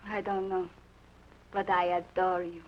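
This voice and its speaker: A woman's voice: on the low side, little pitch movement, a little slow, a little hiss or hum, close to an everyday voice. A woman playing a teasing young senorita. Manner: teasing, forward. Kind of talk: 1940s radio acting. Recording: muffled old radio broadcast.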